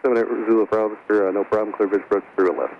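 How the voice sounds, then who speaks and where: Very rapid, deep male air traffic controller. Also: steady tone. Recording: narrow, dull aviation radio, faint noise.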